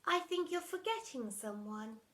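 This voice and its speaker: A woman voicing an elderly, scatty storybook headmistress who is polite and gently teasing. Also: sits high, lively intonation, measured pace, pitched above the performer's own voice. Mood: sly.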